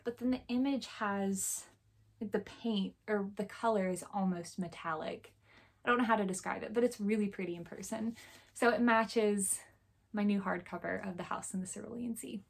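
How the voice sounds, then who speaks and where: Hoarse, chatty woman book vlogger. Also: mid-range voice. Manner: chatty and enthusiastic, with frequent fillers. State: hoarse, scratchy throat. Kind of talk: casual chat showing a book haul.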